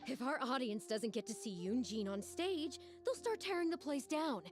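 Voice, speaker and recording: High-voiced, fretful theater manager. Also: female voice, sing-song, moderate pace. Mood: fretful, exasperated. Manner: agitated, melodramatic complaining. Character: fretful, exasperated theater manager in a fantasy game. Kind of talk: video game voice acting. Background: game music.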